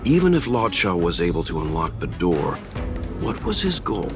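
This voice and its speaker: A man voicing a calm, indulgent, thoughtful gentleman mentor in anime-style video game acting, measured and gently amused. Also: low voice, over music. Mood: skeptical.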